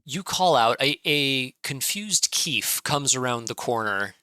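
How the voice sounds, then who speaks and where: A man's voice: medium pitch, lively intonation, measured pace, bright, forceful tone, clear, resonant tone, dry recording. A man narrating as game master in a tabletop game, loose and hesitant with lots of fillers.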